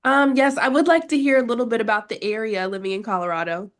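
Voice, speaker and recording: A woman's voice: on the high side, fast. A woman politely putting questions to presenters as a prospective applicant at an information session.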